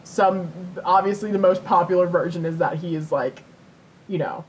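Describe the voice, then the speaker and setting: A young woman co-hosting a podcast, telling stories casually in a giggly, animated way with easy swearing. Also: moderate pace, rough recording. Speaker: podcast co-host. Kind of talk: casual chat, storytelling. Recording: rumbly background noise.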